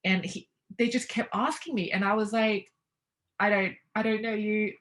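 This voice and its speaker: A woman's voice: medium pitch, steady tone, normal speed, a bit bright. A young woman talking casually as an interview guest, reflective, with hesitant restarts and light laughs.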